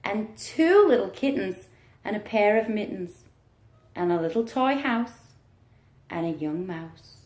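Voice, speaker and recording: A woman teacher reading aloud to young children in an encouraging manner, with questions and deliberate pauses. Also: mid-range voice, animated, darkish tone. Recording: roomy, echoing room sound.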